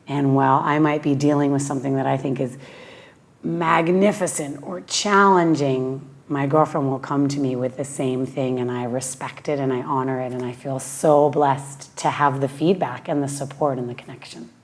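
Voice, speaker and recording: A woman from Western Massachusetts with a raspy voice, a yoga teacher speaking slowly and thoughtfully in a heartfelt personal interview. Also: extremely low pitch, steady tone. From Western Massachusetts, USA.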